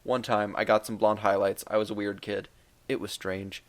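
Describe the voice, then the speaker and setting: A man's voice: fairly low pitch, flat delivery, moderate pace. A man in his twenties answering viewer questions in a casual, rambling, joking vlog. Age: 20s. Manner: rambling, joking, many fillers. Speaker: YouTube gaming creator.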